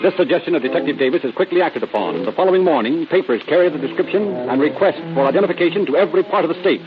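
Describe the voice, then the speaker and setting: A man's voice: on the high side, expressive, very fast. A man delivering dramatic scripted narration for an old-time radio drama. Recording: dull, old radio recording. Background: music.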